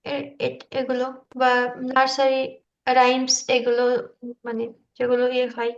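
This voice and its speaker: A woman informally explaining her work in a halting, loose way on an online call. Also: sits high, monotone, echoey room, highs cut off. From India. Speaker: video creator showing their work. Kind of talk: informal screen-share walkthrough.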